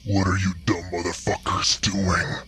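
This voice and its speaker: A ragged old bear, put on by a man, snarls threats in a rough, raspy growl. The voice sits very low yet has a bright, cutting edge. Faint music plays underneath. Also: level tone, unhurried. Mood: contemptuous. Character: old ragged male bear, angry and menacing.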